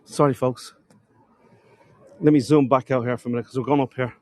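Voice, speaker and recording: A man's voice: mid-range voice, steady tone, brisk, no echo. A man with a cold, sniffling as he gives a chatty live-streamed walking tour outdoors, talking to viewers and calling them folks. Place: outdoors in a town.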